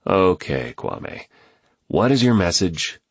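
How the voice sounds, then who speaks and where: A man reading a plain, firm grown man, deep. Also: very animated, slow pace, breathy edge, no room sound, heavily acted. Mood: patient. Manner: plain, direct speech. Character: practical grown man, firm but kind.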